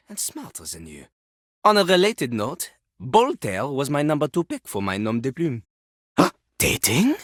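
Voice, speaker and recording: Cocky french rapper toilet dude for a game, husky, swoops all over, talks about himself like royalty. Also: female voice, mid-range voice, a bit bright, no room sound, clean studio sound, exaggerated voice. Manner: pompous, refers to himself in the third person. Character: cocky, boastful French rapper toilet, male, vulgar and vain. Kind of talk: theatrical video game character acting, breaking into rap.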